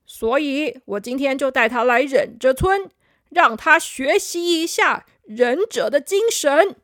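A woman's voice: high voice, lively intonation, a little slow, no echo, studio quality. Woman voicing a patient old wizard. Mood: kindly. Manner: gentle, explaining.

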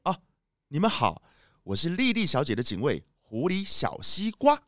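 Woman plays male fox guard, children's audio drama. Polite but slippery, animated, close dry sound. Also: sits low, normal speed, no echo, soft background music. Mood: awed, polite. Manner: ingratiating, hesitant. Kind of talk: children's detective radio play acting.

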